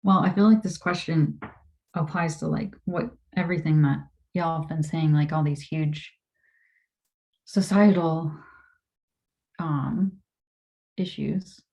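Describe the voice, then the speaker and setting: A woman's voice: deep voice, fairly even intonation, slow pace, pauses often, darkish tone. A woman speaking thoughtfully on a panel, hesitant, with fillers. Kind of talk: panel conversation. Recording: muffled, dull lo-fi sound.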